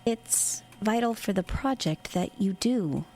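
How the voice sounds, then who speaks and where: A woman's voice: mid-range voice, animated, takes it slowly, a little mellow. Cold, controlled woman commander, played by an actress. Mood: coolly calculating. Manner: clinical, controlled, authoritative. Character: cold, calculating woman superior in a covert military unit. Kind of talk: audio horror drama acting.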